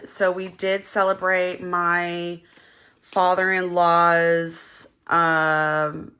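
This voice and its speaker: A young woman with a slightly low yet bright, forward voice talks casually while counting money. Her tone is a little gravelly and hoarse from just having woken up. She rambles along with plenty of fillers and counts out loud. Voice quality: gravelly early-morning voice. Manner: chatty, rambling, with many fillers and counting aloud. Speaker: budgeting vlogger.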